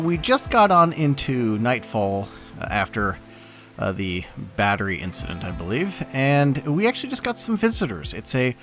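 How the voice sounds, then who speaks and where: A man's voice: mid-range voice, fairly bright tone. A young man with a stuffy voice from allergies, giving casual rambling commentary on his gameplay with lots of fillers. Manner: rambling, thinking aloud with many fillers. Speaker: host.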